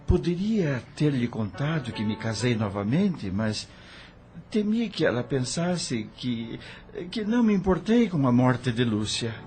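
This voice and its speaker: A man's voice: mid-range voice, very animated, a little slow, music in the background. A man playing an earnest, grieving doctor and family man in an audio novel. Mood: sorrowful. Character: earnest grieving widowed doctor, loving husband and father. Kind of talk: audio novel dramatic acting.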